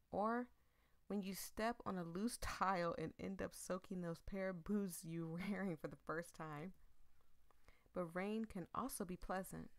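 A woman doing a casual let's-play, reading a visual novel aloud in a chatty, expressive way and breaking off into asides. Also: lowish voice. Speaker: video game streamer.

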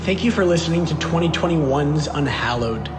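A man's voice: a little high, lively intonation, normal speed, over music. A man reading a scripted closing announcement and credits as the announcer for an audio play series. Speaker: announcer for a theatre company.

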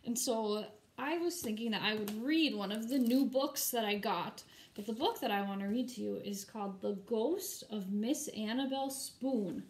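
A woman teacher reading a picture book aloud expressively, with informal, chatty asides for children. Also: fairly high pitch.

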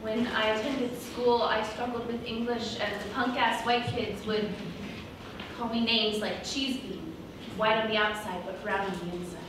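A young woman on stage playing a self-justifying female villain in a comic musical, speaking deliberately and dramatically. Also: a little high, fairly even intonation, close to an everyday voice. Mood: wounded, confessional. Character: self-justifying female villain who disowned her Mexican heritage. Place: small indoor theatre stage, far camera. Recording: echoey stage from far off, audience noise.

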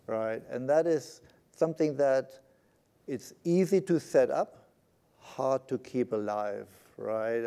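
An older man in his sixties talks on a conference stage through a lapel mic. His voice is mellow and his pitch sits in the middle. He stays conversational and tags his points with a quick "right?". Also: clean tone. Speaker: panelist, IT executive. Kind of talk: panel discussion.

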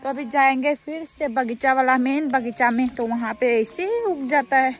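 Chatty young woman vlogging outdoors, slightly high. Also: moderate pace. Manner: chatty, pointing things out while picking leaves. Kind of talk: casual vlog chat. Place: outdoors in a village garden. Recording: background music over outdoor sound.

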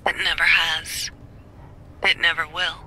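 Slow, haunted, accusing man played by an actor. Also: a little high, little pitch movement, very noisy, close to an everyday voice. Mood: bitter, ominous. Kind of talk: dramatic horror game voice acting. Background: loud game music.

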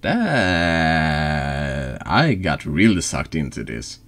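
A man's voice: extremely low pitch, big pitch swings, extremely slow, a bit bright. A man streaming a horror text game, reading dramatically or chatting casually.

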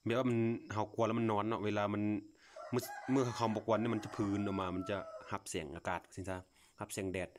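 A man's voice: fairly low pitch, noisy background. A man from Laos, talking casually and unscripted to viewers as he films outdoors on a phone, in a folksy, repetitive, marvelling way. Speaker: vlogger. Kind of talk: casual unscripted commentary to viewers while filming. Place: outdoors, at a grassy patch.

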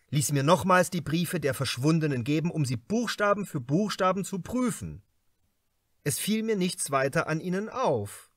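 Expressive high-pitched male audiobook narrator. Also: no echo, clean studio sound. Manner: measured, expressive, carefully articulated reading. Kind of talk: scripted dramatic narration of a crime novel.

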